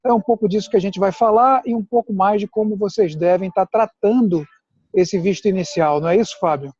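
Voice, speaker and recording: A man explains things in a steady, deliberate way as a guest adviser. His voice is high yet mellow in tone. The audio is dry and dull, with the muffled, lo-fi sound of a video call. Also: husky, dry recording. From Pernambuco, Brazil. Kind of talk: explanatory chat.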